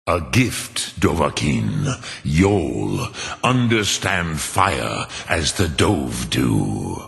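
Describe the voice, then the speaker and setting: Very deep, gravelly ancient dragon. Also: male voice, a bit bright, exaggerated voice. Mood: solemn, instructive. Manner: slow, deliberate, solemn, formal speech. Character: ancient, wise, patient male dragon elder. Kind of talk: fantasy game character acting. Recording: long cavernous reverb.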